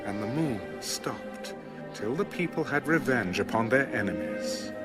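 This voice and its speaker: A man narrating an ancient scripture story in a solemn, dramatic, measured storytelling style. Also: medium pitch, animated, over loud music, lightly acted. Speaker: Bible narrator on a recorded audio reading.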